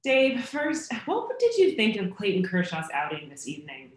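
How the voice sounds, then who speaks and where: A woman reporter asking a manager questions at a press conference, in a clear, lilting way. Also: medium pitch, wildly swooping pitch, moderate pace, reverberant, slight background noise.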